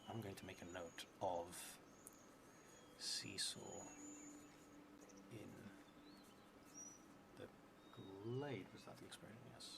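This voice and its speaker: Man in his thirties, lowish voice, solo tabletop game narration, musing to himself, noisy stream audio. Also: vocal fry. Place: indoor streaming setup in a theatre venue.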